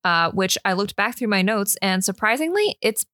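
A woman's voice: medium pitch, lively intonation, normal speed, bright, forceful tone, dry recording, studio quality. Chatty woman reading beer notes. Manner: chatty, reads notes with easy asides. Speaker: beer podcast co-host. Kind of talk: casual chat with read-out research notes.

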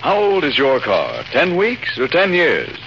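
A man's voice: fairly high pitch, huge pitch swings, unhurried. An American man reading a scripted 1940s radio advertisement as an announcer. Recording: muffled 1940 radio recording, faint noise.

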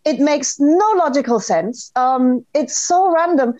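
High-voiced, sweary woman chatting. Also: expressive, measured pace, dark tone, no echo. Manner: sweary, emphatic. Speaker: podcast guest. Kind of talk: casual chat, telling childhood memories.

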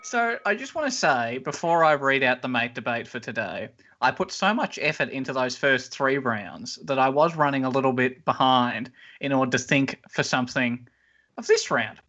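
Nasal, bright-voiced male podcast host. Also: medium pitch, lively intonation. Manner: playful, drawn-out announcing. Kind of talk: comedy podcast banter. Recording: lo-fi podcast audio.

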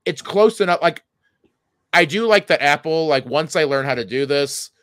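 Bright slightly high guy on a comedy podcast, rambly, cuts himself off, casual and sweary. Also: lively intonation, normal speed, no echo, clean studio sound. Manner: rambling, self-interrupting, profane. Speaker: podcast co-host.

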